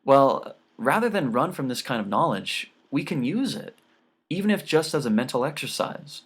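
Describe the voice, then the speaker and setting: A man's voice: mid-range voice, sing-song, normal speed, slightly rough. A man voicing an earnest teenage daughter who explains things patiently in an audiobook story.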